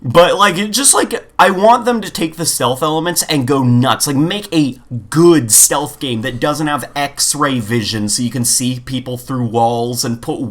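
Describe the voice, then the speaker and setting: A man's voice: medium pitch, a little slow, few pauses. Opinionated, exasperated male podcast co-host. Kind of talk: casual gaming podcast chat.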